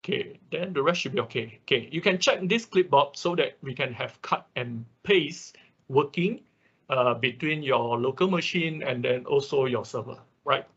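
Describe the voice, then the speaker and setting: A man instructs an online class step by step in an informal way, often pausing to check in. His voice is bright and forceful with a creaky edge. The sound is dull and lo-fi, as from a computer microphone. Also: medium pitch, expressive. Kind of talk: hands-on technical tutorial, walking through steps.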